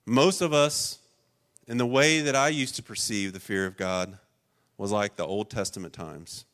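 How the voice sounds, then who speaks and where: A man preaching a sermon, earnest and emphatic, with loud stressed points and quiet personal asides. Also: on the low side, steady tone, normal speed. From rural Ohio. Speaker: pastor.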